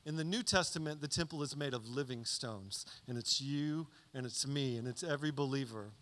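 A man's voice: mid-range voice, fairly quick. A man in his thirties preaching a conversational sermon into a handheld mic on a church stage, often checking in with "okay?". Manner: conversational, animated teaching with frequent "okay?" check-ins. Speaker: pastor. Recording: reverberant church hall.

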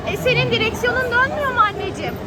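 A woman's voice: extremely high pitch, racing. A mother cheerfully filming her children, talking to them in a playful, affectionate, teasing way. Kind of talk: casual family vlog commentary. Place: busy shopping mall. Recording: loud crowd and music behind.

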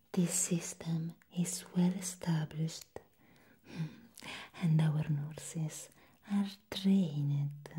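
A young woman playing a bored, condescending clinic secretary in a whispered ASMR roleplay, close to the microphone, offering slow, deliberate reassurance. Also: low voice, warm tone, slightly rough, heavily acted. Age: young adult.

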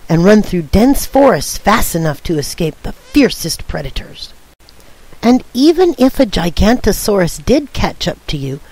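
Imaginative older sister for a storybook, played by a woman: persuasive tale-spinner, lively range, dry sound. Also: on the low side, no echo, rough recording. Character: coaxing, imaginative big sister telling tall tales.

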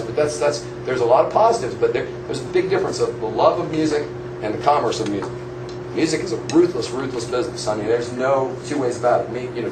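A man speeds through a drum clinic talk, rambling and animated, tripping over his own restarts. He is heard from a distance in a room with a strong echo. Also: medium pitch. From Florida. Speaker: guest drummer. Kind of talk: clinic talk to a live audience. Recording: echoey room, mic far off, noisy.

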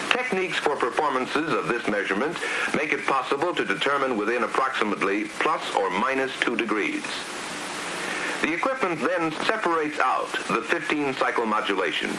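A man with an American accent narrating a scripted 1950s instructional film in a formal, precise, didactic manner. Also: mid-range voice. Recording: hissy 1950s film soundtrack. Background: steady hiss.

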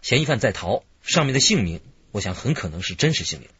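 An actor playing an eager, friendly young police computer investigator in a radio drama. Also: male voice, on the low side, big pitch swings, fast, a bit bright, husky, dry recording, dull audio, heavily acted. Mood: eager, thoughtful. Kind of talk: Chinese radio drama acting.

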